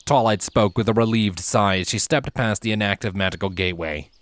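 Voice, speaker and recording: A man narrating a scripted story as a reader of fan fiction, with expressive, theatrical emphasis. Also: fairly low pitch, fairly quick.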